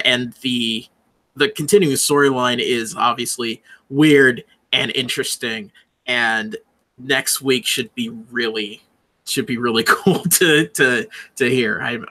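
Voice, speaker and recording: A man chatting casually as a show guest, talking in a halting, informal, giggly way. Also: mid-range voice, steady tone, sharp tone. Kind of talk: casual chat.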